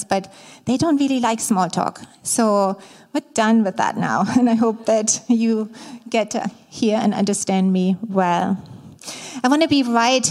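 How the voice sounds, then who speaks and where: Middle-aged German woman, guest preacher, mid-pitched, speaks openly with light fillers, long church-hall reverb. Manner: warm, candid, slightly halting with fillers. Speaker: guest speaker, ministry staff. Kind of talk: sermon to a church congregation. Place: church sanctuary.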